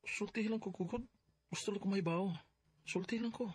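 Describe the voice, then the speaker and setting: An actor playing an earnest, insecure young suitor in a Filipino radio drama, with a husky voice and a Bisaya accent. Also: male voice, high-pitched, a little fast. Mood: pleading.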